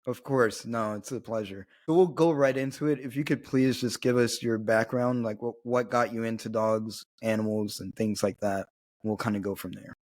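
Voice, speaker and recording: A man hosting a casual podcast interview over a video call, relaxed and laughing often. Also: mid-range voice, moderate pace. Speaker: podcast host.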